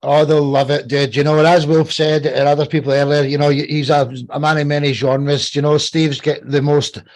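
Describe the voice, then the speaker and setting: A man's voice: fairly high pitch, little pitch movement, moderate pace, darkish tone. A man hosting a live podcast in a casual, informal and enthusiastic way. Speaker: podcast host.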